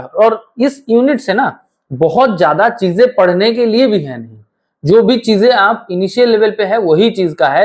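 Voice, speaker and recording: A man with a hoarse, sore throat, teaching an online exam-prep lecture and talking straight to his students, repeating key phrases slowly. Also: sits high. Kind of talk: online lecture with course promotion.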